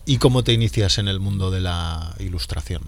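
A man hosting a relaxed interview, asking short questions and joking with his guest. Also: sits low, fairly quick. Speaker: podcast host. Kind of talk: casual interview chat.

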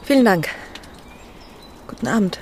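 A woman's voice: mid-range voice, expressive, unhurried, darkish tone, slight background noise, lightly acted. An actress playing a reserved young lady of the manor in a radio drama, speaking politely and a little hesitantly. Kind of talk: German radio play acting. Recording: music behind the voice.

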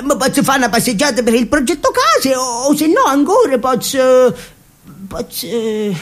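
High-pitched old Abruzzese grandpa. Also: male voice, fairly bright tone, exaggerated voice. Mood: forlorn, rambling. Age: 80s, as played. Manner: repetitive, stammering, exclamatory. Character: stunted, half-deaf, feisty 84-year-old Abruzzese grandpa. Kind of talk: radio theatre character acting.

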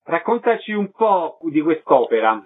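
Male radio interviewer, fairly high voice, conversational, narrow phone-like sound. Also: very animated, measured pace.

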